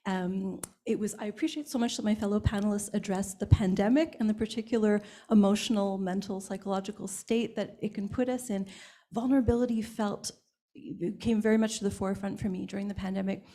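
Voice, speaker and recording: A woman's voice: mid-range voice, fairly quick, warm tone. A woman panelist answering a question in a candid, reflective way. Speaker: symposium panelist.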